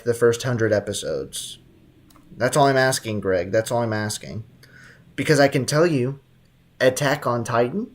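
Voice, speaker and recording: Casual, slangy young male game streamer. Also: mid-range voice. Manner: slangy, playful, banters with viewers. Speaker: video game live streamer. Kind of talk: casual chat while gaming. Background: game music.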